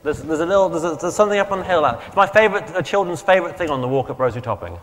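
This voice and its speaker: A man, a vicar preaching a sermon in a relaxed, conversational way, his throat strained and failing, often correcting himself as he goes. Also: a little high, racing. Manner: conversational, chatty, self-correcting. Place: church hall. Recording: long hall reverb.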